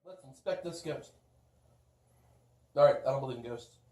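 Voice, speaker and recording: A man voicing a deadpan, quibbling puppet critic trading comic banter. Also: medium pitch, moderate pace, reverberant, far from the mic, natural voice. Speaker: puppet show reviewer.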